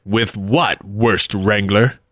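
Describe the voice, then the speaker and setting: An actor playing a defiant, wisecracking adventurer sidekick in a comic 1940s-style radio play. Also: male voice, lowish voice, fairly even intonation, takes it slowly, bright, forceful tone, no echo, studio quality. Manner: quippy, defiant.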